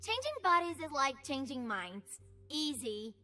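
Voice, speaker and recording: Video game chameleon girl creature, tiny high voice, swooping melody, playful, curious, simple broken phrasing. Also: sing-song, a little slow, bright, forceful tone, faint music behind, heavily acted. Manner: speaks of herself in third person with broken grammar. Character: playful, childlike female shapeshifting chameleon creature, curious and tender.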